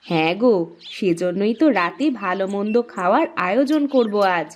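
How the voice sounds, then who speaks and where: A woman acts out a loving village mother in a cartoon story. She is proud and affectionate, with a medium female pitch and a homely, housewifely manner. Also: lively intonation, normal speed, close to an everyday voice. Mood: proud, cheerful. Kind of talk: Bengali cartoon dialogue.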